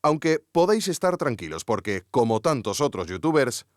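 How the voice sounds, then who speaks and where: A man's voice: mid-range voice, sing-song, moderate pace, no echo, studio quality, close to an everyday voice. An actor from Spain playing a wry, curious male investigator narrating a podcast, with ironic conversational asides to the listener. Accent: Spanish from Spain. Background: music.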